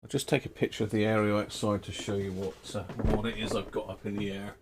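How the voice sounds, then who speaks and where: A man's voice: fairly low pitch, little pitch movement, fast, very noisy. A man hosting a live stream about radio gear, chatting casually and laughing at his own mistakes as he works, his voice moving nearer and farther from the mic. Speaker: radio hobby livestream host.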